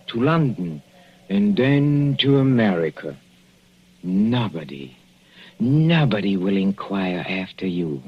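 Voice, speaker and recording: An actor playing a sadistic middle-aged professor with a Central European accent, mocking and chuckling with purring menace in a 1940s radio play. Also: male voice, on the low side, slightly rough, full character voice. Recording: lo-fi vintage radio recording, faint hiss.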